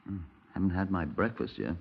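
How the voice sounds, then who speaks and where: A British police detective with a very low voice. He is laconic and matter-of-fact, in the manner of 1950s radio drama. The old recording is dull, with a long reverberant ring. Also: sing-song, brisk, gravelly, slightly rough, full character voice. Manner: understated, clipped, conversational.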